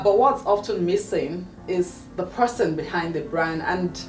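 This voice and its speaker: A woman hosting an interview show, welcoming her guest formally and posing long, drawn-out questions. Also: on the low side, recorded from a distance. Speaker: talk show host. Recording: echoey room with faint music, away from the mic.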